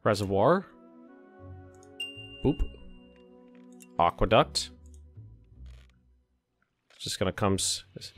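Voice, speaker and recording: A man with a slightly hoarse voice, casually commenting while he plays a city-building game, thinking aloud and cursing now and then. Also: lowish voice, fairly bright tone. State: a little hoarse. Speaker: let's play host.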